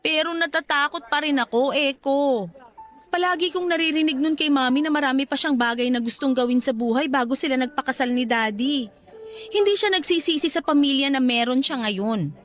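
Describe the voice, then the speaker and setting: An actress as Janine, high-voiced pleading young woman. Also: fairly quick, soft background music, close to an everyday voice. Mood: earnest, anguished. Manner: earnest, emotional pleading. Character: Janine, anxious, ambitious young woman pleading with her boyfriend. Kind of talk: Tagalog radio drama acting.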